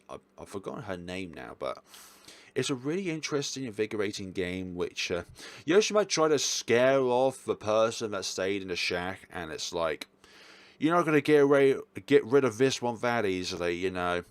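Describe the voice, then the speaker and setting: Low-pitched man, casual rambling commentary. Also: sing-song, normal speed, dry recording. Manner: rambling, self-correcting. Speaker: video host reviewing games.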